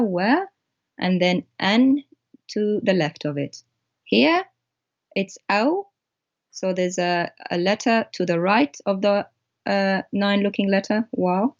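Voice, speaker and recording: A woman whose first language is Arabic, a guest explaining text on screen in a halting way with many pauses. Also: medium pitch, dull audio. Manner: halting, pointing things out.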